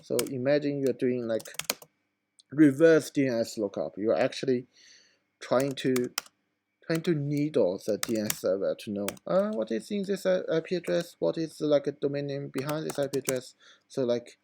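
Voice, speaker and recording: A hoarse man who is losing his voice, talking through a screen-recorded computer tutorial in a halting, informal way with many fillers. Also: mid-range voice, lively intonation, dark tone, a little hiss or hum.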